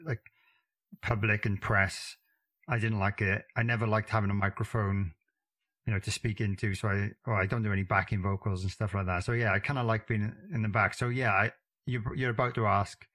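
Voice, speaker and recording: A man from Wales with a Welsh accent, talking modestly in a casual interview, with frequent halting restarts. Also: on the low side, level tone, fairly bright tone. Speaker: drummer, interview guest.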